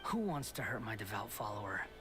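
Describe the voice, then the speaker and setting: A man's voice: fairly low pitch, very animated, fairly quick. A man voicing an arrogant, menacing young self-proclaimed god, haughty, mocking and theatrical, in an anime video game. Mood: imperious, threatening. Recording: game audio with clear background noise.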